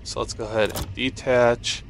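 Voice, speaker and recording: A man's voice: mid-range voice, little pitch movement, moderate pace, vocal fry, a little hiss or hum. A man giving casual game commentary, thinking aloud in short phrases. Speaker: gameplay streamer.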